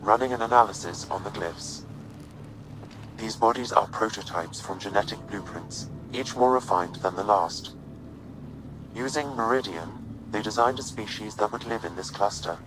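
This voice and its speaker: Man voicing a low, impassive AI assistant. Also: noisy background, lightly acted. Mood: calm, analytical. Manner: measured, precise, impassive. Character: calm analytical male artificial intelligence assistant. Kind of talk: scripted game dialogue, formal analysis.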